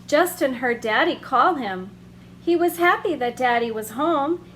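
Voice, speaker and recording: A woman hosting a children's story hour, reading a picture book aloud in a gentle, expressive way with drawn-out emphasis. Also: high voice, a little hiss or hum.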